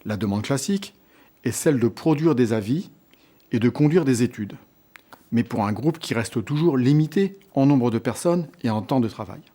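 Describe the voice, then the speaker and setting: A man reading a scripted instructional presentation in a measured, structured way, setting out points in short phrases. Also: mid-range voice, lively intonation, warm tone. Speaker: lecturer presenting on local governance.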